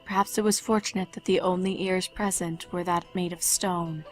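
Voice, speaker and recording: A woman's voice: fairly low pitch, fairly even intonation, moderate pace, soft background music. A woman reading fan fiction aloud as a scripted story narrator.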